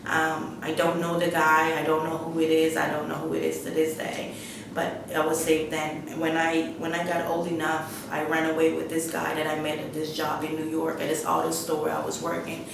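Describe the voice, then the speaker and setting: Middle-aged woman from Guyana, low and flat delivery, halting personal testimony, distant mic with clear background rumble. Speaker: interviewee telling her life story. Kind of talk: personal testimony, unscripted storytelling. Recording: echoey room, distant mic, rumbling noise.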